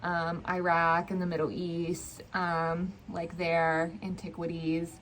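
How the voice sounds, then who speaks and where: A woman's voice: lowish voice, monotone, slow pace, a bit bright, low-quality recording. A young woman in casual book-vlog chat, speaking in an informal, hedging, conversational way. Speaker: book vlogger. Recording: clear background noise.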